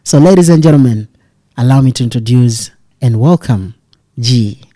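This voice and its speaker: Man, podcast host, mellow dark tone, formal emphatic intros. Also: mid-range voice, normal speed. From lives in Northern Cyprus as a foreign student. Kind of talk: podcast interview.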